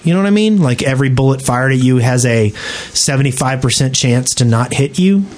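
A man's voice: mid-range voice, animated, fairly quick, a little mellow. A man co-hosting a podcast, talking casually and speculatively in an informal group discussion. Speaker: podcast co-host. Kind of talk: casual speculative discussion of a video game update.

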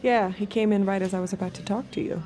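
An actress playing a watchful, manipulative escort in an intimate, measured audio drama. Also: female voice, medium pitch, fairly even intonation, dark tone, natural voice. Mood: calm. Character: cool, watchful, manipulative woman escort narrating her thoughts. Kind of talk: intimate scripted audio drama narration and dialogue.

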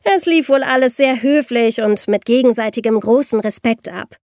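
Actress doing young woman podcast host, higher voice, clear patient crime storytelling, chatty, very dry sound. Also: normal speed, a little mellow, no room sound, natural voice. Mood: explanatory, engaged. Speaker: podcast host explaining true crime cases. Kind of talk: conversational explanatory storytelling.

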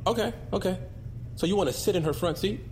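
An actor playing an exasperated, bumbling young amateur bounty hunter in a comedic audio drama, incredulous and sometimes stammering. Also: male voice, high voice, fast, darkish tone, a little hiss or hum, close to an everyday voice. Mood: awkward. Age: young adult.

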